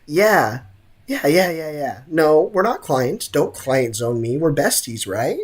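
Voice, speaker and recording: A man's voice: on the high side, very animated, slow, slight background noise. Young man streaming, slangy and jokey. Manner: slangy, jokey, theatrical outbursts. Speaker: game livestreamer. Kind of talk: casual playthrough commentary with chat banter.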